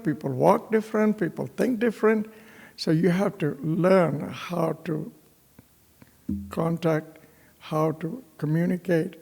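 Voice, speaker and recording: Slow gravelly priest from Pakistan. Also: male voice, a little high, a little mellow, in a large hall. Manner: slow, halting, with many fillers and restarts. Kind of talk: homily with personal storytelling. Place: parish church.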